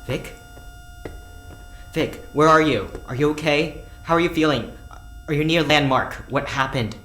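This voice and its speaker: A man's voice: a little high, unhurried, fairly bright tone, soft background music. Stiff, earnest male scientist, echoey room. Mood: anxious, urgent. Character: stiff, earnest, guilt-ridden scientist doctor, socially awkward. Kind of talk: audio drama acting. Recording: roomy echo, background noise.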